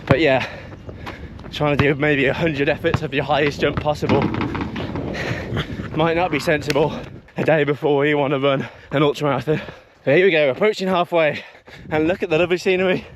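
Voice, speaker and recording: A man's voice: fairly high pitch, steady tone, brisk. A man in his early thirties talking casually as a vlogger about his run, partly outdoors on an action camera mic. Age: early 30s. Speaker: vlogger, amateur long-distance runner. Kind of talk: casual running vlog talk and narration to camera. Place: outdoors on a coastal trail for much of it. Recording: outdoor wind and ambient noise.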